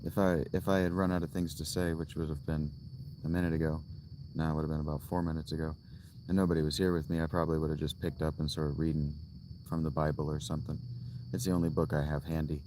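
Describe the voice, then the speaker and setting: Very deep, flat-voiced man musing in a tent. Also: background noise. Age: 30s. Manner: slow, musing, thinks aloud with restarts. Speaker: livestreamer. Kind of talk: casual livestream chat. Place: inside a tent, outdoors. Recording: loud crickets and outdoor ambience. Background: crickets.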